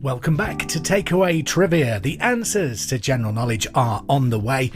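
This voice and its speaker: A man presenting a podcast pub quiz in a scripted, clear and deliberate way. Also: mid-range voice, music in the background. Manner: repeats questions clearly and deliberately.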